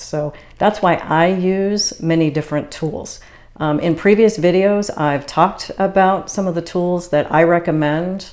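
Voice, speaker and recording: A woman with a notably low-pitched voice talks in a calm, unhurried way, as if chatting to viewers about her own experiences. She is from the Boston area and speaks as a spiritual channeler hosting her own video. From Boston area, USA. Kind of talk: casual explanatory monologue.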